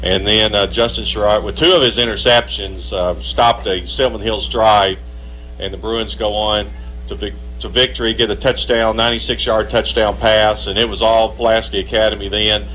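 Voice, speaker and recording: A man's voice: lowish voice, steady tone, moderate pace, a bit bright. A man giving post-game football commentary as a radio broadcaster. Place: live broadcast at a football stadium. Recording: noisy live sports broadcast with hum.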